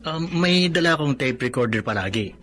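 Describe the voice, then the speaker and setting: An actor with a Filipino accent playing an earnest, hardworking young blind student in a radio drama. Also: male voice, mid-range voice, expressive, brisk, bright, forceful tone. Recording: radio drama with noisy ambience behind.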